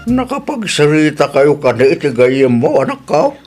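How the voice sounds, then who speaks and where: A man's voice: a little high, very animated, normal speed, darkish tone, faint music behind. A man playing a caring, concerned elderly pensioner father in an Ilocano radio drama.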